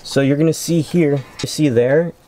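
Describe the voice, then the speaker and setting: A man's voice: on the high side, normal speed, warm tone, slight background noise. A man hosting an informal how-to video, explaining things step by step in a casual way. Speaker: video host.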